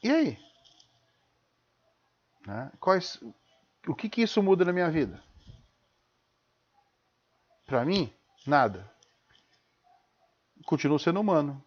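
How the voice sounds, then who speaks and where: A man's voice: a little high, very animated, slow, pauses often. A man with a Brazilian accent giving an informal spoken lecture in an emphatic, didactic way, punctuated with rhetorical questions and short check-in tags. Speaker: host of a live-streamed talk. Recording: dull, lo-fi live stream audio.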